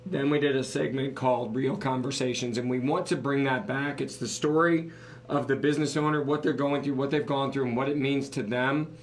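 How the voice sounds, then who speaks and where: A man's voice: medium pitch, steady tone, a little fast, a little hiss or hum, rough recording. A man co-hosting a live podcast, losing his voice, talking casually and cracking jokes. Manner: joking, loose, rambling. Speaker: podcast co-host.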